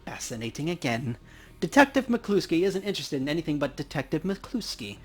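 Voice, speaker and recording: A man's voice: on the high side, big pitch swings, a little fast, husky, soft background music. A man voicing a dry, precise, principled dwarf coroner in a video game. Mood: wry, earnest. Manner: precise, wry, matter-of-fact. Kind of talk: hobby voice acting for a game's dialogue.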